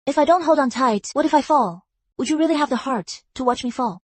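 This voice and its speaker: A woman playing a calm, teasing young woman. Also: high-pitched, brisk, dry recording, lightly acted.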